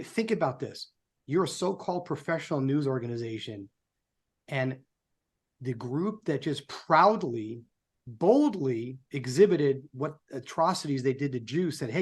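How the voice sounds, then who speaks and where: A man's voice: fairly high pitch, husky. A sixty-year-old American man giving opinionated, rhetorical political commentary as co-host of a live talk show.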